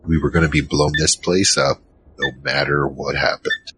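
An actor playing a giant troll, worried and soft-hearted, narrating in the first person in an audio drama. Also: male voice, rumbling low, fairly even intonation, normal speed, a little hiss or hum. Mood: recounting, uneasy. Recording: music behind.

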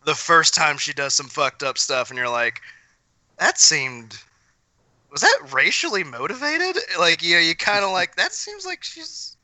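Male, conversational podcast talker, sharp bright tone, dry close sound. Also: a little high, big pitch swings, unhurried, no echo. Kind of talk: casual chat.

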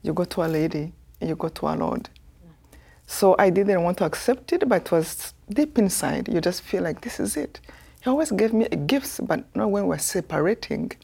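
A woman from Rwanda in her fifties with a Rwandan accent, telling her life story as a guest in an earnest, soft-spoken way. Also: lowish voice, expressive, normal speed, vocal fry, husky. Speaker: guest, author.

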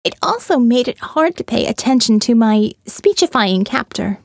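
A woman's voice: fairly high pitch, lively intonation, normal speed, creaky, no echo, studio quality. A woman reading a first-person audiobook in a wry, conversational way. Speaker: audiobook narrator.

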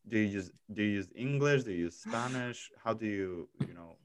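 A man's voice: on the low side, lively intonation, normal speed, slight background noise. A man hosting a casual chat, asking curious questions with frequent stammered restarts. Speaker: host.

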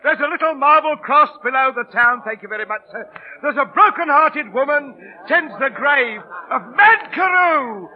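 An actor playing a weary but cheerful street busker who recites pompously in an old-time radio drama. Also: male voice, very high voice, animated, moderate pace. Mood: grandiose. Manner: theatrical, declamatory, pompous. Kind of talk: 1930s-40s radio acting. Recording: muffled, noisy old radio broadcast.

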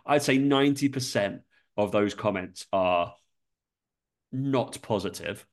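A man's voice: on the low side, slow. Nasal-sounding man with a cold. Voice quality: sweary, laughs often. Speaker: podcast co-host. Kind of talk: casual chat.

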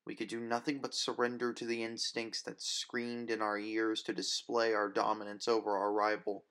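A man with a fairly low-pitched voice narrates a story. He delivers scripted first-person fiction dramatically, in the manner of an audiobook reader. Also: flat delivery, normal speed, a bit bright.

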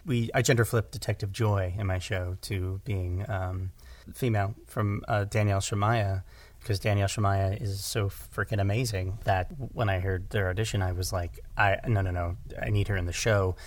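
A man talking casually on a podcast as a director, animated and halting, repeating words as he thinks. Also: low voice. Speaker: podcast speaker, audio drama director. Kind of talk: casual conversational discussion.

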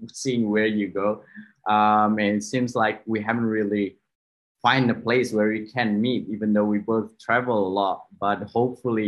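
A man hosting a podcast interview over a video call, asking questions in a halting way full of fillers. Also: on the low side, highs cut off. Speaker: podcast host.

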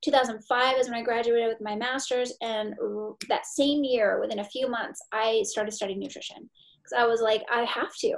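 A woman in her forties speaks with a gravelly, slightly high voice. She talks with quick, emphatic energy, telling stories from her work as a therapist and nutritionist in an interview. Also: fairly even intonation, poor audio. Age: 40s. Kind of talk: podcast interview.

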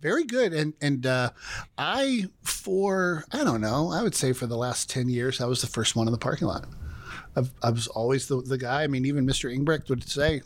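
A man in a mid-pitched voice co-hosts a relaxed podcast. He talks in a playful, animated way, teasing his co-host and his guest. He reads comments aloud and runs a rapid question game. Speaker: podcast co-host, high school teacher. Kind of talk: casual chat.

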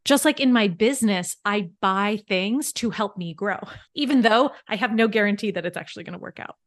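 A woman's voice: mid-range voice, a little fast, dry recording. A woman financial coach, a podcast guest, who explains things eagerly and step by step with worked examples. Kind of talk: casual podcast interview.

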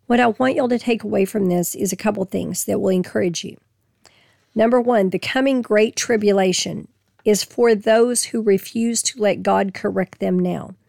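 A woman, losing her voice, reading scripture slowly and explaining it in a folksy, plain way. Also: medium pitch, gravelly, darkish tone, no room sound, studio quality. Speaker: Bible teacher. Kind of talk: casual Bible teaching with scripture reading.

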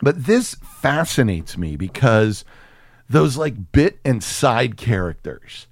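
A man's voice: medium pitch, big pitch swings, slow, creaky, dry recording, studio quality. A man co-hosting a film podcast, chatting casually with profane, joking banter.

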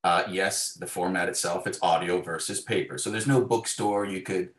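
Low-voiced man, echoey room. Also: fairly even intonation, moderate pace. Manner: wry, self-deprecating. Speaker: interview guest, author. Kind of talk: casual reflective interview conversation.

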